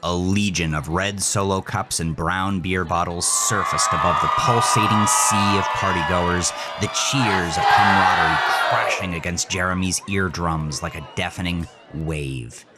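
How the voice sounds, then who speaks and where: A man hosting and narrating a scripted horror story in an intense, deliberate, dramatic way. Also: low voice, steady tone, loud music behind the voice, a loud crowd. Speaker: host, author and narrator.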